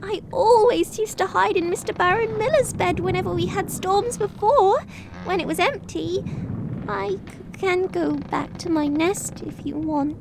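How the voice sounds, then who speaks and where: A woman's voice: very high-pitched, unhurried, gravelly, background noise, heavily acted. A woman voicing a frightened but sweet young sea pony filly, stammering and quavering in a dramatic story reading. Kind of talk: dramatic fan fiction reading.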